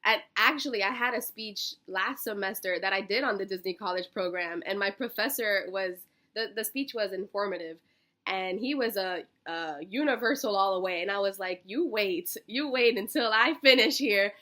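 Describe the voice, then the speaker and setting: A woman's voice: medium pitch, moderate pace, pushed and bright. A woman of about twenty-one with an American accent, answering casual interview questions in a bubbly, effusive, chatty manner. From Miami, Florida, United States. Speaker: job interview candidate, college student.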